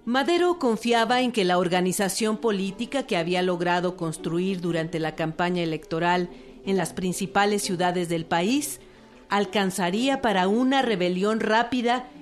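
A woman's voice: mid-range voice, moderate pace. A woman reading scripted historical documentary narration as a narrator. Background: music.

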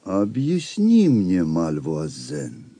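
Stern grand master, audio play: mellow dark timbre, measured slow speech, imperious and severe. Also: male voice, on the low side, wildly swooping pitch, creaky, husky, exaggerated voice. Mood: righteous, severe. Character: Beaumanoir, stern austere grand master of Templars. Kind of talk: Russian audio drama acting.

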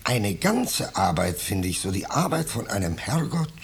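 An actor playing an ingratiating vagrant in a 1950s German radio play, speaking with unctuous false modesty and quiet menace. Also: male voice, on the low side, sing-song, moderate pace, creaky. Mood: musing. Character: ingratiating vagrant stranger, slyly menacing, mock-humble. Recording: noisy 1950s radio drama recording.

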